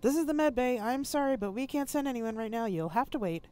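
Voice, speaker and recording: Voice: mid-range voice, expressive, fairly quick, a little mellow, clean tone, pitched above the performer's own voice, natural voice. Woman doing a curt medical dispatcher. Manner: curt, apologetic. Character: hospital dispatcher, curt and overworked.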